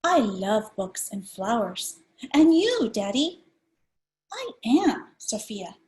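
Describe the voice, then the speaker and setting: A woman voicing a little girl from a picture book who is unhappy about her long name, read aloud in a gently expressive way. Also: fairly high pitch, takes it slowly. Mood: wistful.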